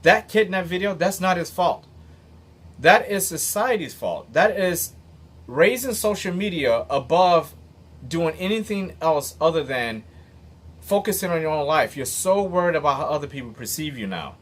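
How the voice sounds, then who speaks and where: A man in his late thirties speaks straight to the camera in an unscripted motivational monologue. His voice is a bit husky and sits slightly high for a man. He talks bluntly and emphatically, and a swear word slips out now and then. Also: animated, normal speed, a bit bright. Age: 30s. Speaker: motivational vlogger.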